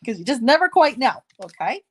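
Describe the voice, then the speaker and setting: A woman hosting a casual card-making tutorial, chatty and self-deprecating, slightly hoarse with a stuffy nose. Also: sits high, very animated, a little fast, slight background noise.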